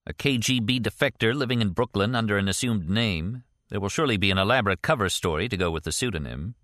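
A man's voice: fairly low pitch, quick pace, fairly bright tone, no echo, lightly acted. A man reading an audiobook plays a sceptical male ex-CIA detective with a dry, sardonic, reasoning manner.

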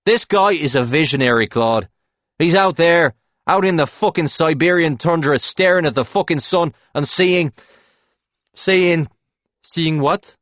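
A man voicing a brash, foul-mouthed, excitable male banker in an audiobook, talking emphatically and impatiently like an evangelist for his schemes. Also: medium pitch, moderate pace, no echo, lightly acted. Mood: excited, forceful. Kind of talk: audiobook character dialogue.

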